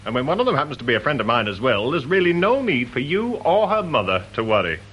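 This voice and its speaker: An actor playing an unflappable British police chief inspector in a radio drama, dry, measured and guarded. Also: male voice, medium pitch, sing-song, fairly bright tone, husky, poor audio. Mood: calm, firm.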